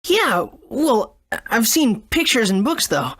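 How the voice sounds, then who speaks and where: Animated, earnest boy hero. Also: on the high side, slow pace, slightly rough, dry recording, clean studio sound, full character voice. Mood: wide-eyed. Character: earnest young boy hero, kind and curious. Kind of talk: video game voice acting.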